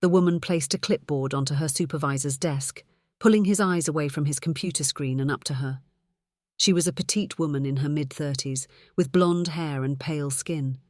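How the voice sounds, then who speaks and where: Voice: mid-range voice, normal speed, no room sound, studio quality. A narrator reading scripted fiction for an audiobook.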